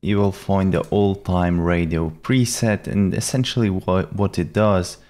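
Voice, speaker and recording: Low-pitched young man, slow tutorial delivery. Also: steady tone, darkish tone. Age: young adult. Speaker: tutorial presenter. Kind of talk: step-by-step software tutorial narration. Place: small indoor room at a computer.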